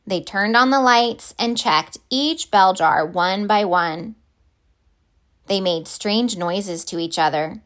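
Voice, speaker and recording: Slow-paced woman reading a storybook. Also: mid-range voice, fairly bright tone. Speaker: picture book reader. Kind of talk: read-aloud storytelling for children.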